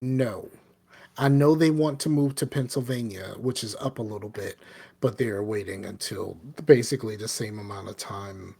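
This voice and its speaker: A man's voice: lowish voice, moderate pace, creaky, warm tone, husky. A middle-aged man from the American South with a Southern accent, chatting casually as a podcast co-host and rambling with lots of "you know what I mean". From Southern United States. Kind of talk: casual chat.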